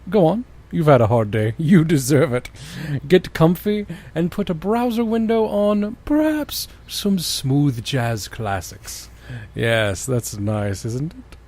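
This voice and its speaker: Man, podcast host, lively swooping intonation, slightly high voice, mock-grand storytelling, amused asides. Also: slow. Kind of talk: dramatic comic reading of fan fiction with joking asides.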